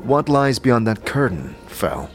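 An actor playing a puzzled, searching immortal wanderer in an audio drama, terse and questioning. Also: male voice, medium pitch, big pitch swings, measured pace, vocal fry. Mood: searching, wary. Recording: music behind the voice.

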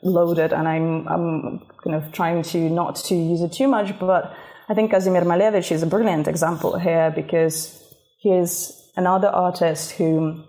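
A woman from Ukraine, a native Russian speaker, answering interview questions in a measured way with frequent hesitations. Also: lowish voice, little pitch movement, dark tone. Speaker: guest, art expert.

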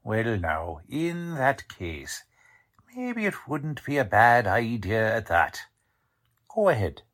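Guy doing a slangy sarcastic old-time radio police lieutenant, takes his time, pitch swings around a lot. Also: big pitch swings, takes it slowly, fairly bright tone. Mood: exasperated. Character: gruff wisecracking 1940s police lieutenant, slangy and blunt. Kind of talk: 1940s radio drama acting.

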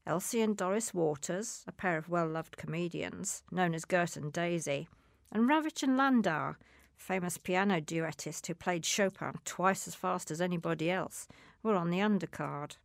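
A woman reading a scripted first-person piece aloud in a measured, storytelling way. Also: lowish voice, gravelly, no echo, studio quality. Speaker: reader.

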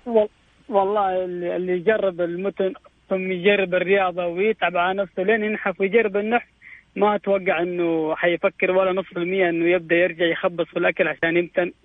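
High-voiced Saudi man calling in, very fast. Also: flat delivery, no echo. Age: 30s. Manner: emphatic, repeats himself for stress. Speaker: radio phone-in caller. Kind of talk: casual personal chat. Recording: muffled lo-fi phone line.

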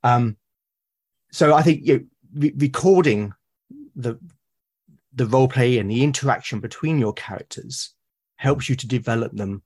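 A British man, a podcast guest, talking earnestly and haltingly, often repeating his words. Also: medium pitch, darkish tone, slightly rough. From Britain. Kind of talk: conversational interview.

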